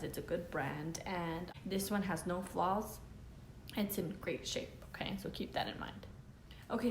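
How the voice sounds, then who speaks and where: A woman's voice: medium pitch, vocal fry, a little hiss or hum. A young woman with a Spanish accent, chatting as she explains her eBay reselling steps and checks in with "okay?". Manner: chatty, often adds "you know" and "okay?". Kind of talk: casual how-to vlog. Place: living room with a couch, indoors.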